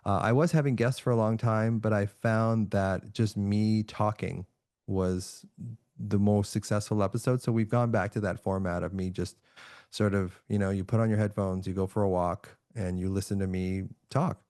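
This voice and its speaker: Gravelly low-voiced calm man. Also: normal speed, no room sound, clean studio sound. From Canada. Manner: calm, reflective, soft-spoken with frequent restarts. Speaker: podcast guest, author and neuroscientist. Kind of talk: relaxed remote podcast interview.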